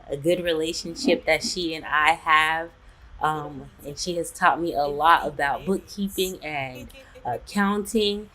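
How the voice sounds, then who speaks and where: Low voiced woman hosting a webinar, super excited and chatty. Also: rough recording. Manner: enthusiastic, chatty. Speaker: webinar host.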